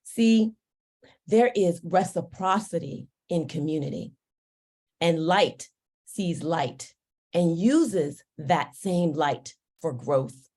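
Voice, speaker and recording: A woman whose first language is Yoruba, giving a slow, deliberate and uplifting formal speech at an awards ceremony. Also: on the low side, lively intonation, a little mellow. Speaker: ceremony speaker.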